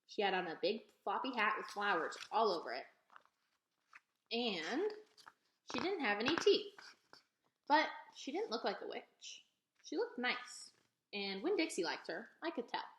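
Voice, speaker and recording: A woman reading a children's novel aloud in an expressive storytelling style. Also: medium pitch, a little slow, pauses often, slight background noise. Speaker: read-aloud reader. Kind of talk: expressive children's book narration. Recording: echoey, roomy sound.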